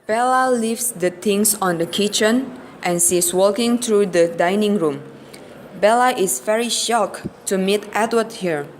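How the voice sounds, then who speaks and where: A woman's voice: medium pitch, a little slow, slight background noise. A woman narrating an amateur radio play from a script.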